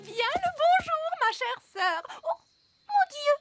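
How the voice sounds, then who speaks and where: Very high theatrical cartoon fairy lady. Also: very animated, slow pace, a bit bright, no echo, soft background music, heavily acted. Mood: gushing, then aghast. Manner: theatrical, exclamatory. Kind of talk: French cartoon dub.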